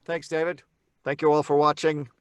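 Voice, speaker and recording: A man's voice: fairly high pitch, flat delivery, normal speed, clear, resonant tone, no echo. A middle-aged man chatting casually as a guest on a livestream. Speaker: voice actor guest. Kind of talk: casual chat. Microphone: studio mic on a video call.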